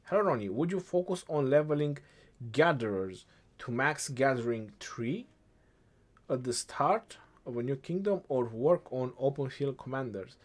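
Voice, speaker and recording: A young man with an Eastern European accent, answering chat questions on a game live stream in a relaxed way, thinking aloud as he goes. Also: mid-range voice, moderate pace.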